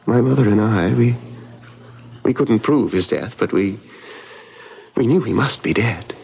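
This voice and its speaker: Husky, nervous, reclusive gentleman. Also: lowish voice, full character voice. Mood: melancholy. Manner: halting, formal, fretful. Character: nervous, reclusive English gentleman fallen from wealth. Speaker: radio drama actor. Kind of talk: old-time radio mystery acting. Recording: muffled vintage radio recording.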